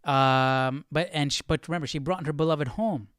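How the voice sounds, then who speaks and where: A man's voice: fairly high pitch, normal speed, a bit bright, clean tone, dry recording, clean studio sound. A man hosting a live Bible study, thinking aloud in casual commentary and puzzling through questions as he goes.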